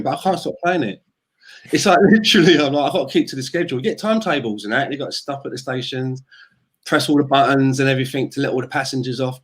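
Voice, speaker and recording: A man co-hosting a casual chat, telling stories animatedly and laughing mid-sentence. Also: medium pitch. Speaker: podcast co-host.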